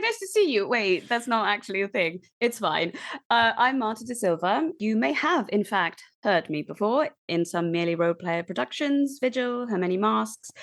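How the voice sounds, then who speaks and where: A woman introducing herself casually and playfully as a player on a roleplay podcast. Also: a little high.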